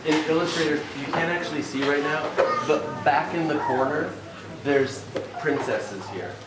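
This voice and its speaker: A man's voice: fairly high pitch, normal speed. Man teacher in an echoey crowded room. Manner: encouraging, calls on children by name. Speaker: teacher hosting a class Q&A. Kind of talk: casual classroom Q&A. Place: indoor event room with crowd. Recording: echoey room, loud background noise.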